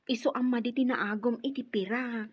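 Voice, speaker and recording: A woman acting in a radio drama as a chatty, indignant younger sister, lively and emphatic. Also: a little high, moderate pace, breathy edge. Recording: dull radio drama recording, loud music. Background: background music.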